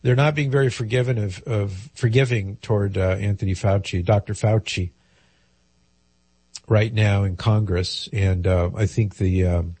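Man, radio call-in host, slightly nasal from a cold, husky, low-pitched, dry studio sound. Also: fairly even intonation, a little slow, mellow, no echo. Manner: conversational, explains at length with fillers. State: head cold, a little nasal.

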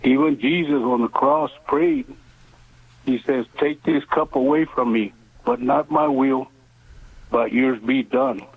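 A man in his seventies calling in to a radio show, speaking earnestly in halting, deliberate phrases over the telephone. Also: medium pitch, animated, creaky, husky. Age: 70s. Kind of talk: earnest phone-in testimony and prayer request. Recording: narrow tinny phone line.

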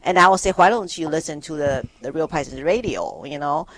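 A man from Taiwan, a guest on a radio show, telling a story in a halting way with many restarts. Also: a little high, animated, a bit bright, poor audio. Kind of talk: radio interview.

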